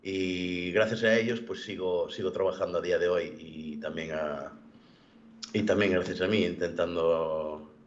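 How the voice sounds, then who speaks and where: A young man with a fairly low voice gives a personal account over an online call. He speaks haltingly, often repeating a word before rephrasing. The audio is somewhat dull and lo-fi. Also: fairly even intonation, slight background noise. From born in the United States, living in Galicia, Spain. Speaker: event participant giving a personal testimony. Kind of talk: personal testimony in an online interview. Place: video call.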